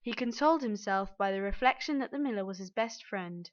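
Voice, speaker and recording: A woman's voice: mid-range voice, fairly quick. A woman narrating a scripted children's story as its reader. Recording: dull, band-limited audio.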